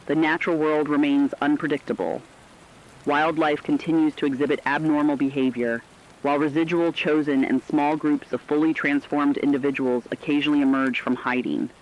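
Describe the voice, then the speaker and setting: A man playing a serious radio reporter, delivering scripted emergency narration in a measured way. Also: on the high side, steady tone, dull audio, lightly acted. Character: serious male radio reporter covering a werewolf catastrophe.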